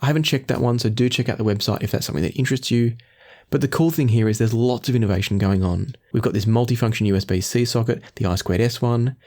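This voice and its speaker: A man's voice: medium pitch, fast, a little mellow. A man presenting a product review, explaining the gear in a conversational, walkthrough way.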